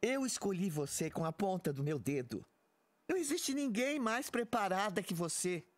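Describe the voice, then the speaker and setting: A woman's voice: medium pitch, big pitch swings, normal speed, lightly acted. A woman playing a commanding, persuasive military commander in an audio drama, authoritative and insistent. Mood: firm, persuasive.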